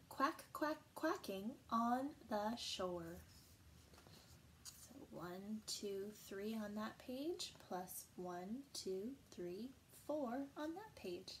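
Young woman teacher, storytime for little kids, patient and soft-toned, faint hiss behind. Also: fairly high pitch. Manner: slow, patient, sing-song counting. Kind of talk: reading a picture book aloud and counting for young children. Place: small room indoors.

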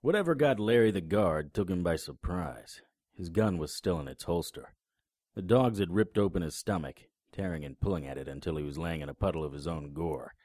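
An actor playing a wisecracking, unflappable paranormal investigator in an audio drama, with a dry, sarcastic delivery. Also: male voice, low voice, a little fast, darkish tone, no echo. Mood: grim narration. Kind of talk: audio drama dialogue and first-person noir narration.

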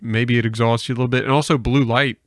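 A young man with a mid-pitched voice and a noticeable vocal fry chats casually as a podcast host. The sound is close and dry. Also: steady tone, fast talker, no echo. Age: young adult. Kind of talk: casual chat. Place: small indoor room with bookshelves. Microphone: close podcast microphone on arm.

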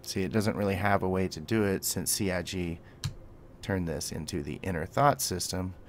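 A man's voice: deep voice, fairly even intonation, moderate pace, slight background noise. A man giving a gameplay tutorial, mixing clipped spoken commands with casual explanation. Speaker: tutorial host.

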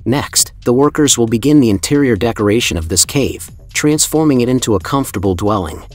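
A man's voice: medium pitch, expressive, fairly quick. Man reading a formal documentary voice-over. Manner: formal, admiring, evenly paced reading. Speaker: narrator. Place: voice-over, not the pictured building site. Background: soft background music.

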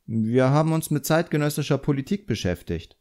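An earnest, proud boy apprentice magician, acted by a man reading an audiobook. He sounds naive and touchy, quick to take offence, with a mellow tone. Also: medium pitch, lively intonation, voice pushed up, close to an everyday voice.

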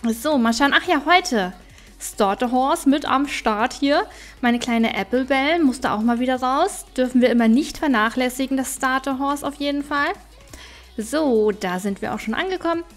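A woman with a slightly high voice commentates casually on a video game. She chats and thinks aloud as she goes, in the easy style of a let's play. Soft game music plays behind her. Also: animated, normal speed. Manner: chatty, thinking aloud to herself and viewers. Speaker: gaming YouTuber.

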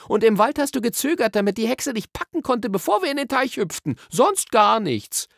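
A man voicing a sharp-tongued young girl in a storybook, indignant and mocking. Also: extremely high pitch, very animated, normal speed, creaky, dry recording, studio quality, voice pushed up, exaggerated voice. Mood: scornful.

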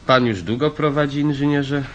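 A man's voice: medium pitch, moderate pace, a bit bright, muffled recording, a little hiss or hum, close to an everyday voice. An actor playing a jovial, probing male company director in a radio drama, breezy and teasing as he draws out his remarks.

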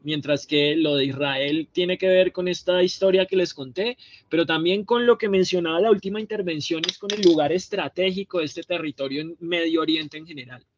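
A man's voice: a little high, a little fast. A man in his thirties giving an academic talk on a video call, explaining with rhetorical questions. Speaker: panel speaker. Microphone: webcam on a video call. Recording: dry, dull lo-fi call audio.

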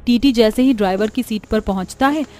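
A woman's voice: a little high, fairly quick, loud music behind the voice. A woman narrating a scripted story as a narrator.

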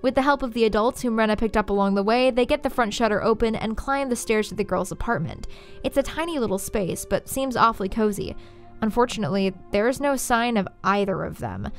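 Female video essayist, fairly high voice, dramatic scripted narration with deliberate pauses, music bed. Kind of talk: scripted story analysis narration.

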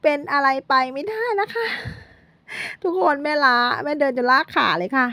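Chatty high-voiced woman vlogging a trip. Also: animated, moderate pace, bright, forceful tone, no room sound. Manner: chatty, addresses viewers constantly, playful self-corrections. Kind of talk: chatty travel vlog narration.

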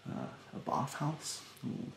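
A young man playing a rude, snobbish, fastidious facial therapist in a soft, half-whispered ASMR roleplay, haughtily polite and hesitant. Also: reverberant, slight background noise, close to an everyday voice. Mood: snide politeness. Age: young adult. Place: bedroom, indoors. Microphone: close mic in front.

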